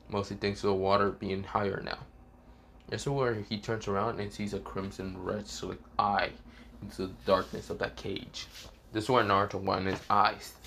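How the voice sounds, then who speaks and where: A man who is sick and coughing, telling a fan fiction story in a rambling, halting way, often restarting with "this is where, well". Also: on the low side, gravelly, a bit bright, slight background noise.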